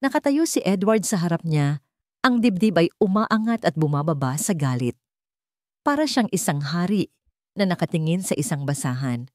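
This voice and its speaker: A woman tells a scripted drama as its narrator. Her voice sits low for a woman. The recording is dry, and the delivery is that of dramatic storytelling. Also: darkish tone, no room sound.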